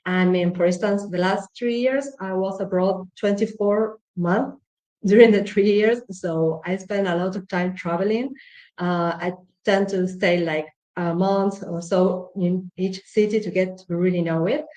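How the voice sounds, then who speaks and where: A woman's voice: medium pitch, fairly even intonation, measured pace, warm tone. Argentine woman, roomy echoey sound. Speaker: guest presenter, data visualization consultant. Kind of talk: informal self-introduction to an audience. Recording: roomy echo on online call.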